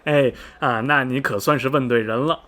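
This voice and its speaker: A man's voice: medium pitch, normal speed, bright, forceful tone, natural voice. A man playing a chatty middle-aged railway station veteran in a radio drama, folksy and rambling, with many sighs and interjections. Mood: chatty, self-assured. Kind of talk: Mandarin radio drama dialogue, storytelling. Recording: music behind the voice. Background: background music.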